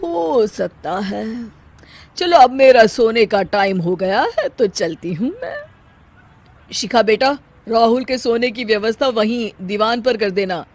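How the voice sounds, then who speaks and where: A woman's voice: on the high side, measured pace, darkish tone, slight background noise. Woman acting a scheming, grumbling mother-in-law. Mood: falsely concerned. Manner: sarcastic, muttering threats, falsely sweet to guests. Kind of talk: Hindi moral-story voice acting.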